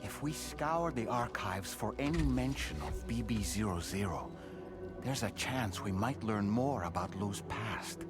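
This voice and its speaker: A man playing a serious, deliberate colleague in a cinematic sci-fi game, speaking formally. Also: lowish voice, animated, music in the background. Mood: resolute. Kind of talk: cinematic game cutscene acting.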